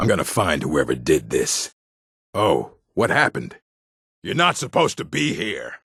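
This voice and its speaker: An actor playing a gruff, aggressive orc warrior in a video game, with a rough, gravelly voice and a blunt, menacing manner. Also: male voice, deep voice, wildly swooping pitch, measured pace, a bit bright, no room sound, clean studio sound, heavily acted. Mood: gruff, wary. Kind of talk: video game character barks.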